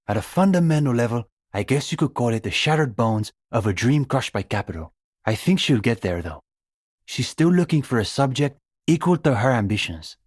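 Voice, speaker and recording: A young man voicing an earnest, bookish communist student in a video game, idealistic and a little condescending, speaking in measured, hesitant intellectual phrases. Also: mid-range voice, darkish tone, slightly rough, dry recording, clean studio sound. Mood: hesitant, diplomatic. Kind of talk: video game character dialogue.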